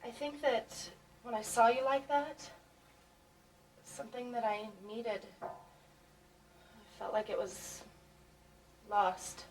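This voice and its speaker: A woman's voice: a little high, takes it slowly, pauses often. An actress playing a shy, earnest woman who talks hesitantly and stammers through apologies, recorded from a distance with faint noise behind. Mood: tender. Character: shy, earnest young woman, easily embarrassed. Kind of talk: film dialogue.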